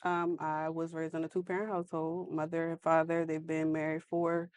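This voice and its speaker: A woman, a guest answering interview questions softly and a little hesitantly, with frequent ums. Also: low voice, completely flat delivery, normal speed. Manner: soft-spoken, hesitant with ums. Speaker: guest, church community outreach director.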